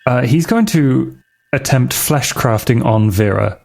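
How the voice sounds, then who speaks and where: A man casually describing his character's moves as a roleplaying game player, in a halting, informal way. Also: medium pitch, animated, creaky, darkish tone, soft background music. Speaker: player on an actual play podcast.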